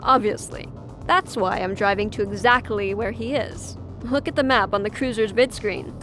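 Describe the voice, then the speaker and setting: A woman's voice: medium pitch, moderate pace, music in the background, natural voice. A woman playing a shrewd, curt law officer in an audio drama, dry and no-nonsense. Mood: sardonic confidence.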